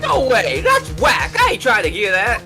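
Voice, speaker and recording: A man voicing a brash, loud young street tough with exclamatory, slangy talk, in amateur video game voice acting. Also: extremely high pitch, wildly swooping pitch, moderate pace, voice pushed up, heavily acted. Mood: outraged disbelief. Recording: loud music behind the voice.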